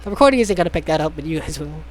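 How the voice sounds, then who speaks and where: Low-key game streamer with noisy background. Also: expressive, very fast, a little mellow. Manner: stumbles over read text, sarcastic asides, swears. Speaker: streamer reading a visual novel aloud. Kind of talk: casual playthrough, reading game text aloud with joking asides.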